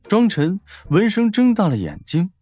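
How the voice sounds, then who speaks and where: A man's voice: sits high, wildly swooping pitch, moderate pace, dark tone, no room sound, soft background music. A man narrating a scripted story as an audiobook narrator, in a dramatic storytelling style.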